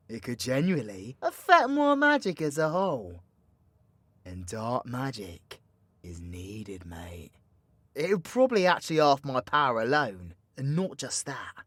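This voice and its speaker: A British man playing a cocky, foul-mouthed dark-magic warlock in an audio roleplay, with slangy, teasing, proud delivery. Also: mid-range voice, dry recording. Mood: proud, earnest, then brooding, intense. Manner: cheeky slangy banter, swinging between hushed menace and loud scolding. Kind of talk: roleplay ASMR audio drama.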